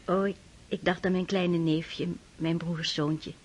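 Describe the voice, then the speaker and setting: A woman's voice: lowish voice, steady tone, slow pace, darkish tone, husky. An actress playing a shy, anxious young noblewoman in a radio play, speaking hesitantly and pleadingly.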